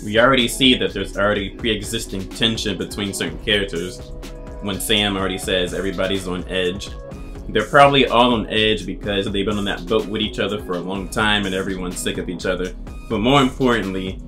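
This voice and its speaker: A man giving casual, analytical commentary as a video essayist. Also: deep voice. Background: music.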